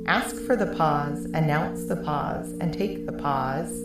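Lower-voiced woman hosting a children's story podcast. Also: takes it slowly, over loud music. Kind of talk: scripted narration and presenting for children.

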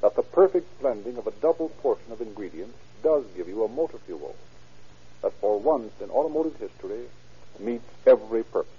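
A man's voice: lowish voice, lively intonation, fairly quick, husky. An American man narrating and reading sponsor messages as an announcer in 1930s radio style. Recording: muffled 1930s radio recording.

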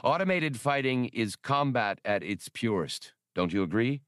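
A man's voice: mid-range voice, animated, unhurried, a bit bright, clean tone, dry recording, clean studio sound, voice pushed up. A man voicing a powerful male official who is smug, chatty and condescending, a coldly dangerous audiobook villain. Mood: chatty, relishing.

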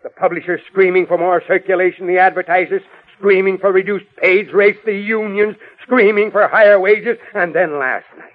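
A male actor plays a blustering, frazzled newspaper boss in a vintage radio drama. His middle-aged voice rides high and sputters with exasperation, hammering on repeated words. The sound is a dull old radio recording. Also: steady tone, moderate pace, lightly acted. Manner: exasperated, sputtering, emphatic repetition. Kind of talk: 1940s-50s radio drama acting.

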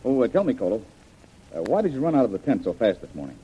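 A man's voice: mid-range voice, sing-song, quick pace, natural voice. A man playing a shrewd, commanding adventurer with a pointed, probing manner, in an old radio serial. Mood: casual command. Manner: pointed, interrogating. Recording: muffled, noisy old radio recording.

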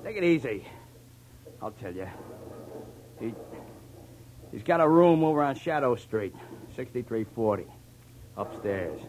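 A man's voice: medium pitch, expressive, measured pace, long pauses, darkish tone, close to an everyday voice. A man playing a surly, slangy young American bowling-alley clerk in a 1940s radio drama. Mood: cowed, nervous. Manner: slangy, sullen, evasive. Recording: noisy vintage radio recording.

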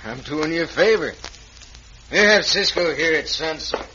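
An actor playing a scheming crooked mine owner in a radio western, with a gruff, folksy American drawl. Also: male voice, on the high side, animated, moderate pace, natural voice. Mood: falsely friendly. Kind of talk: old-time radio western acting. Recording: muffled, noisy vintage radio recording.

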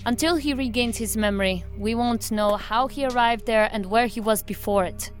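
Woman acting as formal federal agent, higher voice, measured reporting, serious, audio drama, music behind. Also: natural voice. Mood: serious, puzzled. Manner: measured, matter-of-fact.

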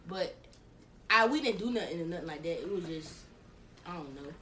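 A woman's voice: on the low side, animated, brisk, fairly bright tone, recorded from a distance, a little hiss or hum. A woman guest on a casual podcast, rambling and correcting herself, blunt in tone. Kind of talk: casual chat.

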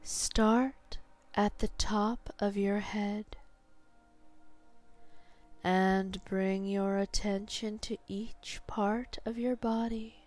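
A woman leading a sleep hypnosis session in a slow, unhurried voice. Her tone is mellow and soft, often slipping into a breathy near whisper as she guides the listener to relax. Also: medium pitch, little pitch movement, faint music behind. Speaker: hypnotherapist host.

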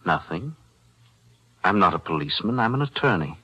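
An actor playing a calm defense attorney, measured and precise, in 1940s radio-drama style. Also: male voice, low voice, breathy edge, dull audio, heavily acted. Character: calm, principled male lawyer.

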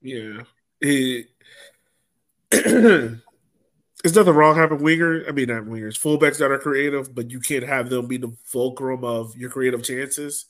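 A man's voice: medium pitch, a little slow, fairly bright tone. A man hosting a casual sports recap podcast in a conversational way, drawing others into the discussion. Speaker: podcast host.

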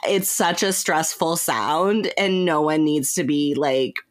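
A woman's voice: low voice, takes it slowly. A woman co-hosting a podcast, chatting casually in an informal, emphatic way and often saying "like". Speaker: podcast co-host.

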